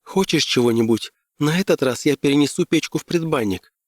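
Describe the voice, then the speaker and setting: A man's voice: a little high, a little fast, no room sound, studio quality, pitched above the performer's own voice, natural voice. A man voicing an anxious woman trekking client in an audiobook story. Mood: apologetic. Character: anxious young woman client on an Antarctic trek.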